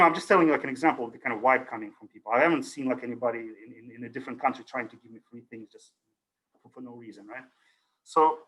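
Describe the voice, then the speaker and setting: A man's voice: mid-range voice, a bit bright, rough recording. A middle-aged man from Ukraine, a native Russian speaker, giving a casual university lecture over a video call, rambling with many fillers and restarts.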